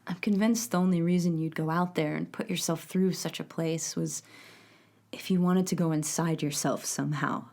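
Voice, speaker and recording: A distinctly low-pitched woman, an actress as a composed official in an audio drama. She answers like an interviewee, measured and matter-of-fact. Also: steady tone, gravelly, darkish tone. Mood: composed, reflective. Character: composed female official giving a sober interview.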